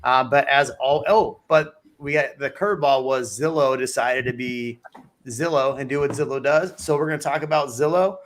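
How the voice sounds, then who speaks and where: A man's voice: mid-range voice, normal speed, a bit bright. A man hosting a casual real estate livestream, joking and teasing his co-hosts. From Texas. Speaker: real estate show host. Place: home studio on a live stream.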